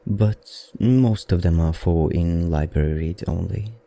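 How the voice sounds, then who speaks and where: A man voicing a suave, softly predatory vampire librarian in an intimate ASMR roleplay, with a courtly, teasing manner and an affected accent. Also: measured pace. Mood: genial, welcoming. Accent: affected, non-native accent. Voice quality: low, dark, gravelly murmur.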